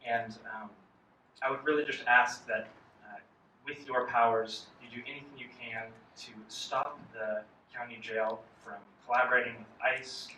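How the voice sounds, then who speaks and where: A man with a very bright, sharp voice makes a sincere plea during public comment. The sound is distant and echoey, with faint noise behind. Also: mid-range voice, fairly even intonation, moderate pace. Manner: sincere, pleading. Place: public meeting hall.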